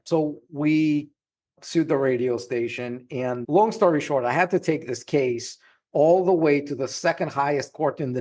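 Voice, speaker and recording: A middle-aged man from Russia with a Russian accent, a podcast guest recounting his story in a lively, anecdotal way. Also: medium pitch. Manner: lively anecdotal, laughs while talking. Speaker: podcast guest, trademark lawyer. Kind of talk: casual storytelling interview.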